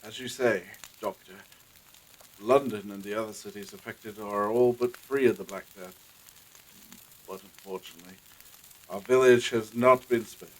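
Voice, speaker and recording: A man playing a courteous, worried village gentleman in a period audio drama, formal and slightly hesitant. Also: on the low side, fairly even intonation, normal speed, natural voice, poor audio. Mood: courteous, sombre.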